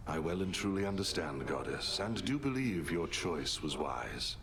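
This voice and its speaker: A man's voice: low-pitched, very animated. A man playing a shrewd, loyal veteran strategist in a video game, courtly and wry. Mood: wry, respectful. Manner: courtly, easygoing, deliberate. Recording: noisy ambient background.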